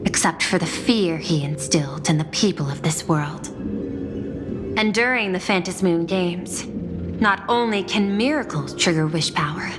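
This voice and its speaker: A woman's voice: lowish voice, close to an everyday voice. Composed, calculating female CEO in reverberant space, acted. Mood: grave, composed. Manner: formal, measured, coolly precise. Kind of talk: dramatic video game voice acting. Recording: long hall reverb, music behind.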